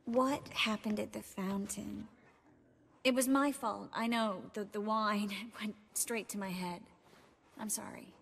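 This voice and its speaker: An actress playing an earnest young sorceress and weary resistance leader in a dramatic video game. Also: female voice, medium pitch, steady tone, slow pace, gravelly, a bit bright, slightly rough, long reverb tail, full character voice. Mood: earnest, apologetic.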